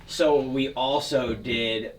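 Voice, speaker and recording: A man's voice: mid-range voice, takes it slowly, poor audio. A young man in his twenties, a rapper and beat producer, chatting casually and rambling in a small home recording studio. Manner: relaxed, rambling, with fillers.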